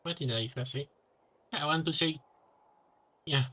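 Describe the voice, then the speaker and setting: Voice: mid-range voice, a little slow, pushed and bright, lo-fi sound. A game streamer giving casual, informal live reactions while playing, with muttered asides.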